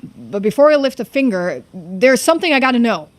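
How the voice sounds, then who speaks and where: A woman's voice: on the high side, sing-song, a little fast, no room sound. A woman voicing a frank, loyal young sidekick in a video game, with a casual, emphatic, questioning manner. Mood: concerned, probing.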